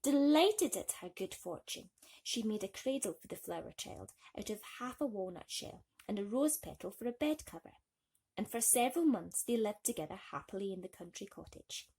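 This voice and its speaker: Female children's storyteller, medium pitch, soft husky breathiness, expressive bedtime-reading delivery. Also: normal speed.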